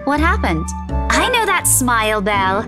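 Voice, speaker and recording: A woman's voice: on the high side, big pitch swings, moderate pace. An actress playing a perceptive young woman in a visual novel game, with a knowing, playful, teasing delivery. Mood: teasing, curious. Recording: game dialogue with music behind.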